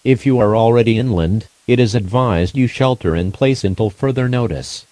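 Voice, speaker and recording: A man reading a scripted emergency broadcast announcement in a formal, measured, impersonal way. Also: mid-range voice, dark tone, dry recording.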